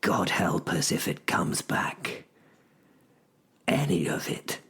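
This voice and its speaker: A man plays a man at the end of his strength after holding the abyss open. He tells the story slowly, in a low, gravelly voice. His grim delivery keeps slipping into whispers. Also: full character voice. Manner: grim, hushed, often whispering. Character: exhausted man who held the abyss open. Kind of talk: dark horror audio drama narration.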